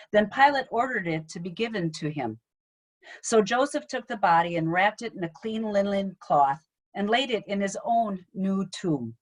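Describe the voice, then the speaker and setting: Mid-pitched woman reading scripture. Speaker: Lutheran pastor. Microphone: video call.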